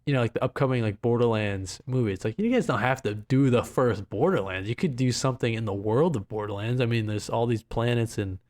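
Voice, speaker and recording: A man's voice: medium pitch, fairly quick, few pauses, a little mellow. A man co-hosting a podcast in casual chat, rambling and slangy, with a self-deprecating streak. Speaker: podcast co-host.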